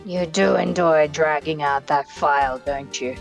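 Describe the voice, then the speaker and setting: Haughty male game prosecutor, voiced by a woman, low gravelly register, clipped condescending formality. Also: steady tone, measured pace, soft background music. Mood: sneering. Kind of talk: live amateur game dub.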